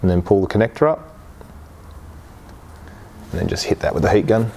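Male how-to host, 40s, fairly low pitch, practical and plain-spoken, talking through each step. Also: expressive, moderate pace, gravelly, warm tone, slight background noise. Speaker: host of boat repair channel. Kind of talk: how-to demonstration, explaining steps. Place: workshop garage, indoors.

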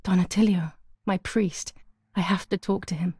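A woman's voice: fairly low pitch, fairly even intonation, moderate pace, darkish tone, husky, no echo, studio quality. A woman playing a traumatized, frightened young woman in a dramatic narrative video game. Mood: haunted, anxious. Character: traumatized, frightened young Italian woman confiding haunted memories.

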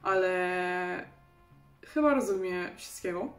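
A woman's voice: lowish voice, slow pace, roomy sound, faint music behind. A woman in her twenties from Germany with a German accent, chatting casually to camera as a vlogger, self-deprecating and laughing often. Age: 20s. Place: small bedroom indoors.

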